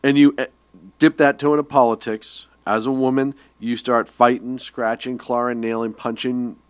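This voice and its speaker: A man's voice: medium pitch, flat delivery, moderate pace, clear, resonant tone, no echo. A man co-hosting a radio talk show, teasing his partner and laughing often through political banter.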